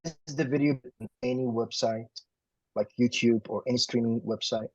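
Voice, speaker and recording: A man's voice: mid-range voice, fairly even intonation, normal speed, highs cut off. A man cuts in politely on a video call, stumbling a little as he makes his point. His voice sounds dry and close, with no room echo. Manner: polite, stumbling over words. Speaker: event participant.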